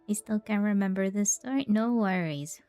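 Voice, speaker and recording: A woman streams a game with casual, easygoing commentary. Her tone is dark and relaxed at an average pitch, with faint music underneath. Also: normal speed. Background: soft game music.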